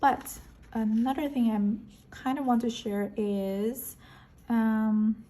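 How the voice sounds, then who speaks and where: A woman's voice: a little high, steady tone, slow pace, warm tone. A young woman whose first languages are Korean and Chinese, chatting casually through a planner flip-through with plenty of ums and restarts. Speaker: planner vlogger. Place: indoors at a wooden table. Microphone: overhead camera on a tabletop.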